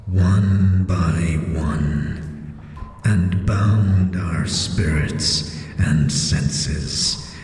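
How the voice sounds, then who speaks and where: A man voicing a solemn, sorrowful cursed spirit in a stone face, speaking gravely and haltingly in an audio drama. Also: rumbling low, pitched below the performer's own voice, heavily acted. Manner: slow, halting, grave delivery with long pauses. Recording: cavernous long reverb, music behind. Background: music.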